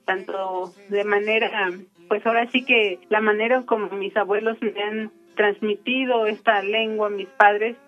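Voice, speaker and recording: A woman from Michoacán, Mexico, whose first language is Purépecha, giving a reflective personal account in a thoughtful, unhurried way with frequent pauses. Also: medium pitch, fairly even intonation, muffled recording, soft background music. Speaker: interviewee.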